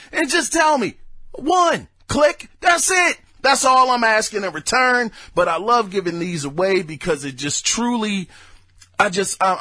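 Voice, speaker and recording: A young adult man hosting a casual gaming news podcast, hoarse, excitable and prone to loud bursts and folksy asides. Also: sits high, no room sound. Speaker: podcast host.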